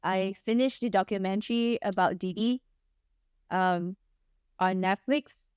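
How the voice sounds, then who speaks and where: A woman's voice: mid-range voice, measured pace, a little mellow, clear, resonant tone, dry recording, muffled recording. A young woman from Singapore with a mixed, partly Singaporean accent, rambling casually as a streamer while she plays, often laughing and pleading playfully. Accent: mixed, partly Singaporean English. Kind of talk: casual livestream chat while gaming.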